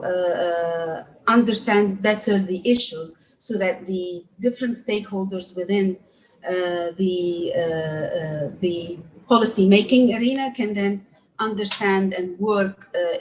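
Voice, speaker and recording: A woman's voice: medium pitch, a little hiss or hum. A woman in her thirties from Egypt, a native Arabic speaker, giving a talk as a university researcher from her home over a video call, with frequent fillers and restarts. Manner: hesitant, many filler sounds and restarts. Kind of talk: remote talk to an audience. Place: home room, seated in armchair. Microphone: webcam on video call. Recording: slightly dull lo-fi sound.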